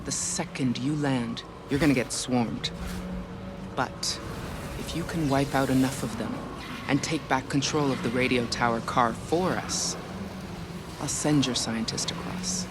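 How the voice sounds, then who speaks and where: An actor playing a frank military officer in a video game cutscene. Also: male voice, medium pitch, measured pace, very noisy, lightly acted. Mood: firm, bargaining. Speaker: soldier character in a video game.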